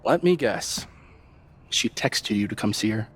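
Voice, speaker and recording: A self-important assistant guy in a game, husky, bit high, very up and down, dry. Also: lively intonation, a little slow. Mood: smug. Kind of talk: naturalistic video game voice acting.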